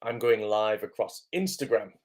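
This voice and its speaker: A man with a hoarse, croaky voice from illness, hosting a casual livestream as an acting coach, greeting viewers and filling pauses with "um". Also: fairly low pitch, a little mellow. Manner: chatty, lots of "um" fillers, greets viewers by name. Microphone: camera microphone.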